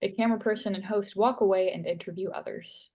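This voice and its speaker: A woman's voice: mid-range voice, steady tone, quick pace, warm tone. A woman reading stage directions as scripted narration.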